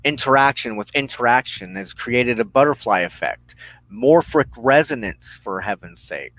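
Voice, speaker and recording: A man hosting a spiritual broadcast, giving a reflective, unscripted monologue in an earnest, halting way with emphatic phrasing and pauses. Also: medium pitch, expressive, fairly bright tone, no echo, rough recording. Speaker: host of a weekly spiritual broadcast.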